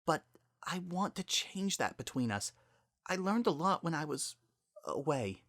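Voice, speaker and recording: A wounded, remorseful young female pony from a story reading, played by a man. Her voice sits high and quivers as she pleads. The sound is dry and very expressive. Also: unhurried, no echo, full character voice. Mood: quivering remorse.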